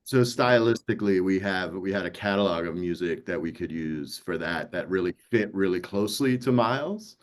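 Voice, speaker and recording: A man's voice: low-pitched, lively intonation, moderate pace, few pauses. A middle-aged man talking as a producer on a panel, emphatic and anecdotal in a casual conversational way. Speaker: audiobook producer.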